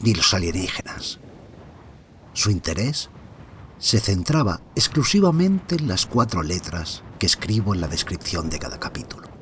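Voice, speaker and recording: A man playing a podcaster who recounts an unsettling personal mystery in scripted, measured first-person narration with deliberate pauses. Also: low-pitched, fairly bright tone, a little hiss or hum. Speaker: narrator and protagonist.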